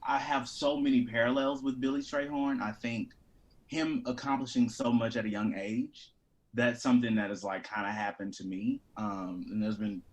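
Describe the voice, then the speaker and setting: A man in his twenties, an actor, talking casually in an animated, playful and informal way. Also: mid-range voice, moderate pace, rough recording. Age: 20s. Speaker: actor and theater artist, guest. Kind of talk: casual conversation.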